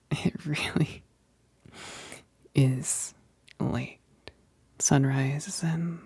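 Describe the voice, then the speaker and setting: A woman acts out a female vampire best friend for an intimate ASMR roleplay. Her voice is a low, breathy whisper with a gravelly crackle. She speaks slowly and hesitantly, affectionate and teasing, with a gentle wistfulness underneath. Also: heavily acted. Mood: coy, teasing. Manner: slow, hesitant, punctuated with soft chuckles.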